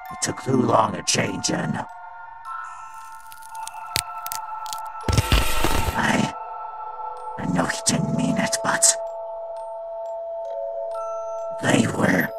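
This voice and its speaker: A guilt-ridden male robot attendant in a comic dub. He confesses slowly and haltingly in a gravelly, whisper-prone voice that swings widely, with music playing behind. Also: medium pitch, heavily acted. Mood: anguished guilt. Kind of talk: fan comic dub, dramatic storytelling.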